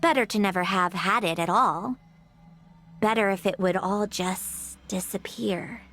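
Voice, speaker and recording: A woman's voice: medium pitch, a little slow, fairly bright tone, faint music behind, lightly acted. A woman playing a melancholy, guarded young woman in a video game, wry and resigned, with sighs and a weariness of loss. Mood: bitter, resigned. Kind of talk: dramatic video game voice acting.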